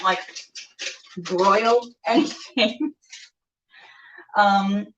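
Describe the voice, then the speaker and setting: A woman's voice: mid-range voice, fairly bright tone. A woman hosting a casual, chatty cooking show, rambling playfully and talking to herself as she goes. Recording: echoey room, distant mic, dull sound.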